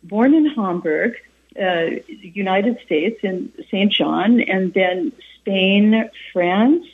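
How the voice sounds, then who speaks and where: A woman's voice: medium pitch, very slow. A woman host doing a radio interview, effusive and asking leading questions that end in tags. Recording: phone-like narrow band.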